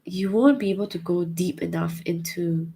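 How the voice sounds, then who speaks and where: A woman's voice: fairly low pitch, steady tone, normal speed, gravelly, warm tone, breathy edge. A young woman with a Singaporean accent, thoughtfully answering viewer questions in a casual chat, hesitant with many fillers. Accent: Singaporean English.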